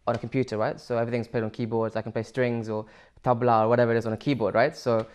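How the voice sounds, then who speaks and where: A man's voice: mid-range voice, level tone, rapid-fire, darkish tone, smooth. A young man from Sri Lanka, answering interview questions casually with many fillers and restarts. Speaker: musician guest.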